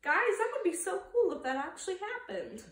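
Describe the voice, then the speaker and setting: A woman's voice: very high-pitched, very animated, fairly quick, fairly bright tone. A young woman narrating a children's picture book as a preschool storytime reader, with asides to the listeners. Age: young adult. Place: living room, indoors. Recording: roomy sound from camera distance.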